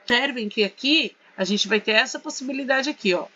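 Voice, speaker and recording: A Brazilian woman with a bright, somewhat high voice walks her students through a lesson. She talks casually and keeps checking in with them. The audio is a little dull and lo-fi. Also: a little fast. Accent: Brazilian Portuguese. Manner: chatty, checks in with tag questions. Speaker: English teacher. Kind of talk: casual screen-share tutorial.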